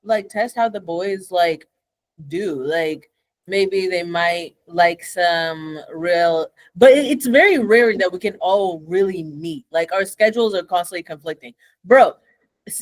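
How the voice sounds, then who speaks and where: A woman's voice: mid-range voice, dry recording. A young woman with a Kenyan accent, co-hosting a podcast in casual chat, blunt and swearing freely as she rants. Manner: blunt, swears freely, animated when ranting. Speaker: podcast co-host.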